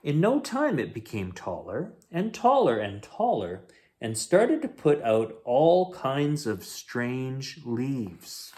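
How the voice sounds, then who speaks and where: A man reading a children's picture book aloud as a bedtime story host, in an expressive, dramatic way. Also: medium pitch, slow.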